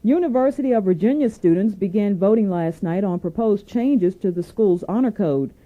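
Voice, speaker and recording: A woman anchoring a scripted radio newscast, reading in a formal, measured way. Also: medium pitch. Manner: formal, measured newsreading delivery. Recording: narrow tinny AM radio aircheck.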